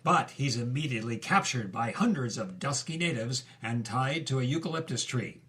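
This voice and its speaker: A man narrating a story as a host, in deliberate short phrases with dramatic emphasis. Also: mid-range voice, expressive, bright, forceful tone. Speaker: podcast narrator. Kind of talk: present-tense storytelling narration.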